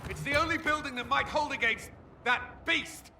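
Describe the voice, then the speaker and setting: A man's voice: very high-pitched, normal speed, heavy background noise. An actor playing a grim male military commander who gives clipped, commanding orders, in a video game cutscene. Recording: long hall reverb.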